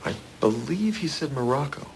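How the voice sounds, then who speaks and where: A man playing a slick, entitled security firm owner in a radio crime drama, smooth, evasive and condescending. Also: mid-range voice, big pitch swings, normal speed, a little hiss or hum.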